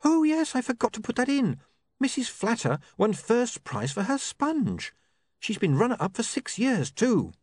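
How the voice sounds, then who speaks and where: A man's voice: extremely high pitch, big pitch swings, moderate pace, a little mellow, dry recording, studio quality, voice pushed up, exaggerated voice. Guy doing a prim proper young lady, fussy and precise, voice swoops all over.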